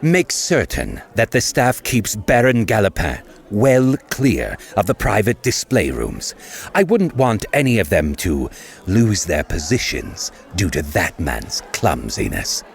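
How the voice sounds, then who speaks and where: A boastful, suavely courteous nobleman in a fantasy audio drama. His voice is deep and gravelly, swinging widely in pitch as he holds forth with formal self-importance. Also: huge pitch swings, exaggerated voice. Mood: stern. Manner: pompous, formal, measured. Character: haughty wealthy lord, boastful and suavely courteous.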